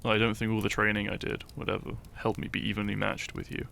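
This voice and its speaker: A man playing a shy, teasing, lovestruck young hero in an intimate romantic audio roleplay, speaking softly and hesitantly with small stammers. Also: lowish voice, level tone, fast, a little hiss or hum, heavily acted. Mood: curious, fond. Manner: soft, hesitant, stammering, breathy sighs and chuckles.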